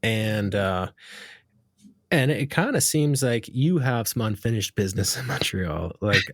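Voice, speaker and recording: A man's voice: lowish voice, animated, unhurried, a bit bright, no room sound, clean studio sound. A man hosting a casual interview, asking long, rambling questions with fillers. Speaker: podcast host.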